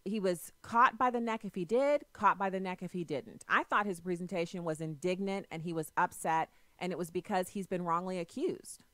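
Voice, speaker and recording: A woman's voice: on the low side, fairly bright tone, dry recording. Assertive woman hosting call-in radio. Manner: assertive, argumentative, quick to press questions. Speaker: radio talk show host.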